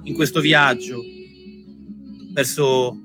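A man tells a story in a theatrical, reflective way, with dramatic pauses and shifting pace. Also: mid-range voice, little pitch movement, music in the background. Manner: dramatic pauses, shifts between slow and quick phrases. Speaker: storyteller, stage performer.

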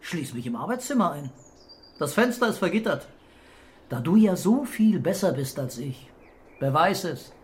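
A calm cop boss, dry and needling, voice a bit on the high side, crime audio drama. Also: male voice, moderate pace, slightly rough, heavily acted. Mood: calm challenge. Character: unflappable, laconic male police commissioner. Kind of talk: crime radio drama acting.

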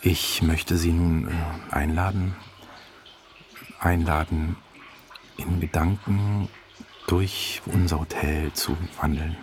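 A man's voice: sits low, fairly even intonation, slow, pauses often, a little hiss or hum. A man speaking as a host, addressing listeners formally and politely like a radio presenter. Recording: music behind the voice.

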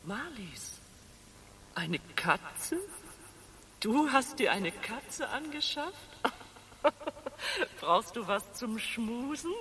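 A taunting, cruelly amused woman in a radio drama. Her high, sharp voice drags very slowly over faint background noise. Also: very animated, exaggerated voice. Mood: mocking. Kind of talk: crime radio play acting.

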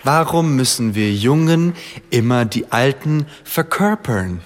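A man's voice: mid-range voice, animated, unhurried, close to an everyday voice. An actor playing an earnest, self-pitying language-course man, mixing English and stilted German in a radio play. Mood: puzzled. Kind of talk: radio play dialogue in language-lesson manner.

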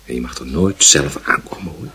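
A man's voice: very deep voice, brisk, pushed and bright, slight background noise, exaggerated voice. A man playing a stern, moralizing father who admonishes and questions his young son in a radio play. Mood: stern, admonishing.

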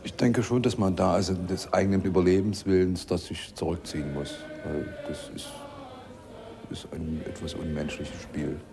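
A man's voice: sits low, fairly even intonation, moderate pace, vocal fry. A man giving spontaneous political reflections in a blunt, disillusioned way. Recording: faint ambient noise.

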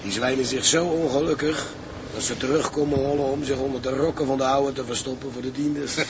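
An actor playing a gruff, fatherly ship's engineer with salty sailor talk in a radio drama. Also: mid-range voice, fairly even intonation, fairly quick, close to an everyday voice. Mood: wry. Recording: noisy outdoor drama scene.

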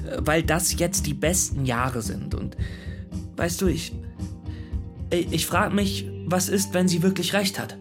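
A shy, awkward young man played by an actor in an audio drama. He speaks hesitantly, restarting his words like a lonely nerd, with clear guitar music behind. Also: a little high, measured pace, lightly acted. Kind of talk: audio drama acting, voicemail monologue.

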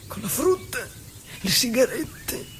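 An actor playing a simple, tender, troubled asylum-dwelling husband in a halting, repetitive theatrical monologue. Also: male voice, very high-pitched, very animated, exaggerated voice. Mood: wistful, fragile. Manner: halting, repetitive, swinging from hushed to loud. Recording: clearly noisy ambient background.

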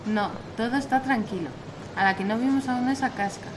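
A woman's voice: on the high side, fairly quick, close to an everyday voice. A woman playing a cheeky, unfazed new schoolgirl prefect in an amateur radio play. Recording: very noisy home recording.

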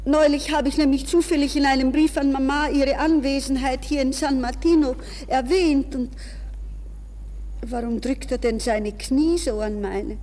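A woman's voice: high-pitched, fairly even intonation, moderate pace, dark tone, close to an everyday voice. An actress playing an anxious, proud young woman in a nervous, pleading manner, in the style of 1960s radio drama. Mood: inner anguish. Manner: breathless, nervous, shifting between polite speech and inner thoughts. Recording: reverberant, hissy 1960s radio recording.

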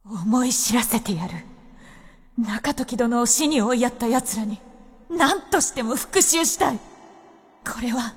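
An actress playing a grief-stricken noblewoman obsessed with revenge in a radio drama, her voice husky and breathy. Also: on the high side, expressive, measured pace, long pauses. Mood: bitter, vengeful. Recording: long cavernous reverb.

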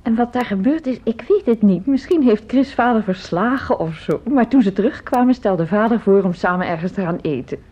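An actress playing a gentle, ailing older mother in a radio drama, soft-spoken and reassuring. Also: mid-range voice, expressive, a little fast, few pauses, breathy edge. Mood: wistful, fond. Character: gentle ailing older mother of grown children, downplaying her illness. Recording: dull lo-fi radio play recording.

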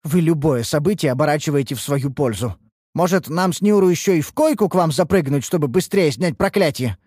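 A man voicing a haughty, contemptuous female high elf with a sarcastic, disdainful manner in a fantasy audiobook. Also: expressive, normal speed, mellow, no echo, clean studio sound, lightly acted. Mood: indignant, sarcastic.

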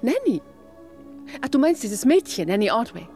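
An actress playing a self-assured wife in a radio drama. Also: female voice, a little high, big pitch swings, moderate pace, warm tone. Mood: surprised, teasing. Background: music.

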